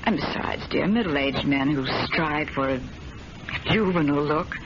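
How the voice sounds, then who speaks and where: An actress playing a prim, solicitous middle-aged wife in an old-time radio drama, proper and faintly reproving. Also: female voice, sits low, unhurried. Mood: disapproving. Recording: noisy, dull old radio recording.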